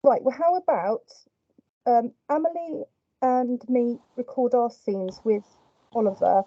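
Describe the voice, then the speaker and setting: Young woman with a dark, mellow voice. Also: a little high, a little slow, no room sound. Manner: offers suggestions in long, hedging stretches. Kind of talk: casual planning call. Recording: muffled, dry call audio.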